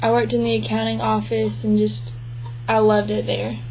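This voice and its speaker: A woman voicing the spoken interpretation of a signed interview, speaking in an even, measured way with frequent restarts. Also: a little high, slight background noise, low-quality recording. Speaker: sign language interpreter voicing a deaf man's answers.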